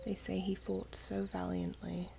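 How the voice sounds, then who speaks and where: A woman playing an ageless, gently teasing, wistful elven maiden in an ASMR roleplay, speaking softly and half-whispered with archaic, unhurried phrasing. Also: medium pitch, completely flat delivery, a little hiss or hum. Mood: pensive, reverent. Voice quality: soft, breathy, half-whispered. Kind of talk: ASMR roleplay, slow intimate storytelling.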